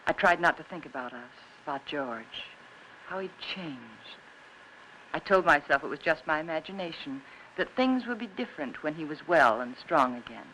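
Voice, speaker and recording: An actress playing an anxious, weary young housewife in the style of a 1950s film noir. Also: female voice, low voice, expressive, moderate pace, bright, forceful tone, slight background noise, rough recording. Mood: weary, reflective. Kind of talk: 1950s film noir acting, first-person narration.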